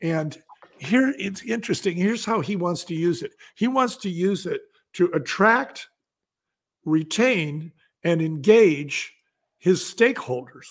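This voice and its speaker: Higher-pitched man, enthusiastic guest. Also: lively intonation, slow pace. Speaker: podcast guest, business founder. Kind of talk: casual interview talk, storytelling.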